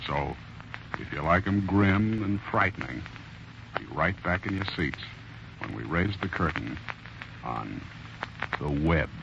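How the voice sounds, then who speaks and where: Low-voiced man hosting a vintage radio mystery. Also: expressive, measured pace, vocal fry, pauses often. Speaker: mystery program host. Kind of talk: 1940s radio host patter, scripted. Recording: dull, noisy old radio recording.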